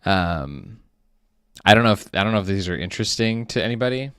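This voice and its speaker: A man who makes an animated series, chatting casually on a live stream at his desk, rambling with many fillers and restarts. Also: fairly low pitch, brisk, smooth, dry recording, studio quality. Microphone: webcam setup at a desk.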